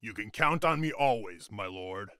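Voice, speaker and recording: A man's voice: lowish voice, lively intonation, normal speed, sharp tone, smooth, dry recording, studio quality. A man playing a loyal, weary freed warrior in a video game, earnest and deferential to his leader. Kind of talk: dramatic video game cutscene acting.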